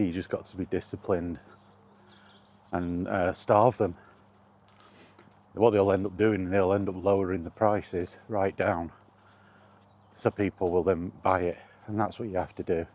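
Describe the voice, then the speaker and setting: A man's voice: sits low, fairly even intonation, a little slow, pauses often, darkish tone. A British man giving a rambling, casual vlog chat in a blunt, opinionated manner. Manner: opinionated, blunt, mildly sweary. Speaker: video blogger.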